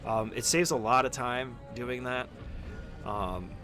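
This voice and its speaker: A man's voice: mid-range voice, slow, pushed and bright, faint music behind. A man giving an informal, step-by-step speedrun tutorial as casual gameplay commentary, swearing freely.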